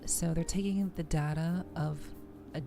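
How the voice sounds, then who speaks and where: Low-pitched woman streamer with creaky voice. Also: steady tone, measured pace. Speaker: livestreamer host. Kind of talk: soft-spoken ASMR game stream. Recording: music behind the voice. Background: music.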